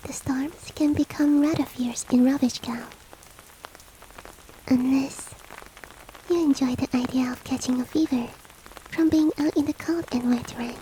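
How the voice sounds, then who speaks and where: A woman voicing a courteous, coaxing monster-girl maid in a soft, breathy, whispery roleplay ASMR style with formal, old-fashioned politeness. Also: sits high, fairly even intonation, measured pace, long pauses, slight background noise, low-quality recording. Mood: gently insistent. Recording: rain ambience behind the voice. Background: falling rain.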